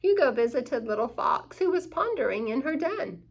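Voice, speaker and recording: A woman's voice: fairly high pitch, sing-song, moderate pace, gravelly. A woman hosting children's story time, reading a picture book with dramatic emphasis and questions for young listeners.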